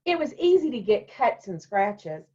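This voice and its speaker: A woman reading a children's storybook aloud and talking to young pupils in simple, deliberate phrases. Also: mid-range voice, big pitch swings, mellow, dull audio. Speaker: elementary school staff member reading a picture book to children.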